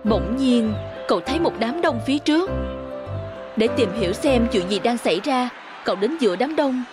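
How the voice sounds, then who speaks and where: A woman's voice: fairly high pitch, lively intonation, moderate pace, over music. A woman reading scripted narration for a children's fairy tale.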